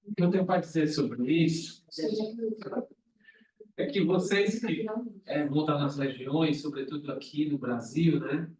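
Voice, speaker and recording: A man's voice: a little high, unhurried, long pauses, a little mellow. A man with a Brazilian accent urging colleagues at a meeting, insistent and repeating himself for emphasis. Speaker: meeting speaker. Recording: echoey room, distant mic, faint noise.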